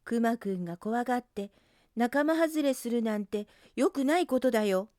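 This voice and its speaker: An earnest boy rabbit from a children's storybook, played by a woman. The voice is high and bright-edged in its sincerity, gentle and persuasive, with a brave, caring temper. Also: animated, moderate pace, dry recording, clean studio sound, natural voice. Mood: earnest, concerned.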